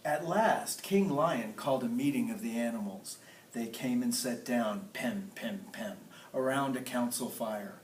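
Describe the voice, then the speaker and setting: A man's voice: medium pitch, unhurried. A man teaching a class, reading a story aloud expressively and putting encouraging questions to the listeners. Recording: roomy classroom, distant mic, faint noise.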